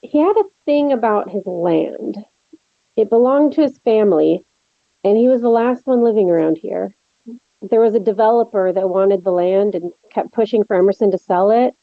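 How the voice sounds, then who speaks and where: Female best friend, horror drama, read by an actress: teasing, protective, wry, gravelly fry, dull lo-fi sound. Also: medium pitch, normal speed, no room sound, lightly acted. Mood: matter-of-fact. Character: Lex, teasing, protective young woman friend. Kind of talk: table read of a horror film script.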